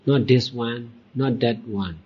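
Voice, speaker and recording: A man teaching as a Buddhist monk, leading a guided meditation in deliberate short phrases with long pauses. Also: mid-range voice, slightly rough. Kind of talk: guided meditation dhamma talk. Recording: dull, muffled lo-fi recording.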